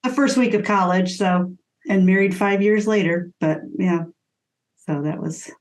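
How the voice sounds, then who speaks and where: A woman's voice: fairly low pitch, unhurried. A woman co-hosting an interview in a casual, conversational style. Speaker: interview co-host.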